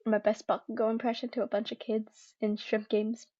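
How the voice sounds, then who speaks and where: A woman's voice: fairly high pitch, flat delivery, moderate pace, mellow, lo-fi sound. Chatty young woman reading fan fiction. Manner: chatty, with many fillers and self-corrections. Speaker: podfic reader.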